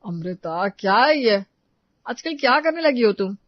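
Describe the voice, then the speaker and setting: A man playing disgruntled, fussy men of a household in an animated family story. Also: very high voice, unhurried, dry recording, lo-fi sound, exaggerated voice. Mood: annoyed. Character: disgruntled husband and fussy elderly father-in-law of a household.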